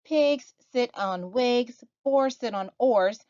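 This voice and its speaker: A woman's voice: fairly high pitch, slow, a little mellow, dry recording, muffled recording, pitched above the performer's own voice. Woman as a fussy, sing-song storybook cat. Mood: insistent.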